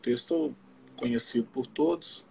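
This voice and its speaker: A man with a husky voice, speaking informally as a missionary pastor in a halting way, often checking in with his listener. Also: mid-range voice. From Belo Horizonte, Brazil. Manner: halting, frequent tag questions to the listener. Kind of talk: informal testimony and Bible teaching. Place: live social media video call.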